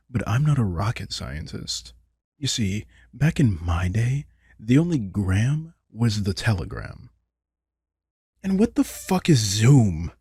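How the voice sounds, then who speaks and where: A man playing a grumpy, sardonic old vampire mentor in an intimate audio roleplay, husky and informal, prone to swearing. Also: on the low side, darkish tone, no room sound, studio quality, heavily acted. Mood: grumpy, exasperated.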